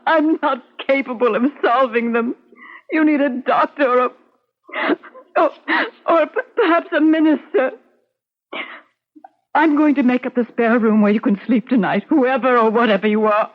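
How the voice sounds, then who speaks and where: A woman's voice: high voice, normal speed, lightly acted. A woman acting a frightened, indignant middle-aged wife in an old-time radio drama, flustered, stammering and prim. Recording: muffled old radio recording.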